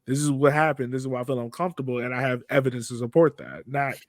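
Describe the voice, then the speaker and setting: A man's voice: medium pitch, fast talker, dry recording, studio quality. A man hosting a podcast in a casual, conversational way. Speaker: podcast host.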